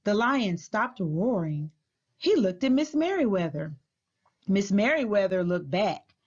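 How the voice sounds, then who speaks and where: A woman reading a children's storybook aloud as a teacher would, clearly, deliberately and expressively. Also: medium pitch, sing-song, highs cut off.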